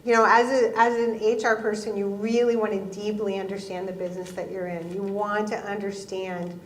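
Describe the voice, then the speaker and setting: A woman speaking as a panel guest and talent leader, chatty and quick to laugh at herself. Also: medium pitch, level tone, far from the mic. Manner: chatty, laughing, self-deprecating. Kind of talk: live panel talk. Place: event hall with audience. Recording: echoey hall.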